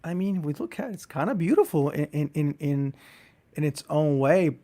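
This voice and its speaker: Guy host with a slightly high voice, casual but brainy wording, keeps stopping and restarting to find words. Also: lively intonation, dark tone. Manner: halting, searching for words, repeats himself, intellectual wording. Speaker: interviewer and host. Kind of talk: casual reflective conversation.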